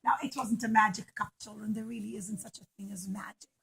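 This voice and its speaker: A woman teacher telling a story to young children in an animated way, with simple, repetitive, emphatic phrasing. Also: medium pitch, brisk, creaky, slightly rough.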